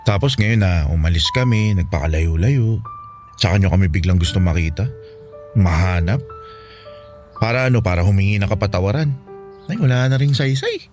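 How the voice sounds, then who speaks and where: A fairly low voice of a young Filipino son, played by a man, bitter and wounded. He reproaches with hesitant pauses in a radio drama, with faint music behind him. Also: expressive, a little mellow, lightly acted. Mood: bitter, dismissive. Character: bitter, wounded grown son, eldest sibling.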